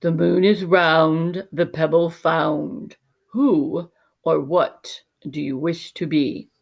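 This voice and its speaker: A woman's voice: low-pitched, steady tone, vocal fry, exaggerated voice. A woman voicing a mysterious magic lizard in a storybook, solemn and incantatory. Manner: slow, deliberate, incantatory.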